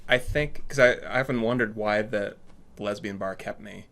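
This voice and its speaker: A man chatting casually as an interviewer in a relaxed-format conversation. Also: lowish voice, moderate pace, creaky. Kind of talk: casual chat.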